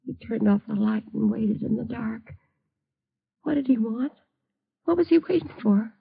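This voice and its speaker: A dull vintage radio recording carries a fearful bedridden mother. Her high voice is breathy and frayed. She pleads in agitated, bitter repetitions, lonely and fragile, in old radio-drama style. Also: lively intonation, normal speed, heavily acted. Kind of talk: 1950s radio drama acting.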